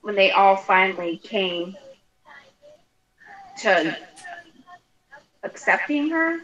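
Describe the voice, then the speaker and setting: A woman's voice: mid-range voice, very animated, extremely slow, fairly bright tone, dull audio. A woman chatting casually and informally as a book club member, reacting to a story with friends. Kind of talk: casual chat.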